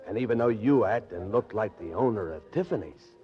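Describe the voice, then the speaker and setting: Small-time gangster played by a man, very low, unhurried, American accent, slangy, mocking, old-time radio drama, dull recording. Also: expressive, soft background music, lightly acted. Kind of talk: 1940s radio crime drama acting. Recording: muffled 1940s radio recording.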